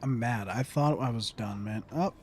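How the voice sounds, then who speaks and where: A man's voice: medium pitch, normal speed, slight background noise. A young man giving casual gameplay commentary, muttering to himself, humming and repeating phrases. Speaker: gaming streamer.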